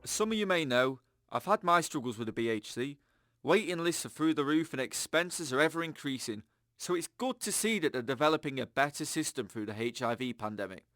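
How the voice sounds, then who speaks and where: An actor playing an earnest, upbeat radio host, chatting to listeners in an audio drama. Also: male voice, on the high side, animated, a little fast, a bit bright, dry recording, clean studio sound, faint music behind. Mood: chatty, sincere. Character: chirpy, earnest, government-trusting male radio show host.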